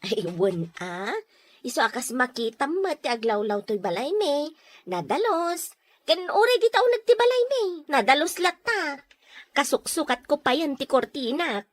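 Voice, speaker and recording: Audio drama role: industrious house-proud neighbour played by a woman, Ilocano accent, high voice, practical, homely, dry sound. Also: sing-song, measured pace, dry recording. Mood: busy, dismissive. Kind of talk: Filipino audio drama dialogue.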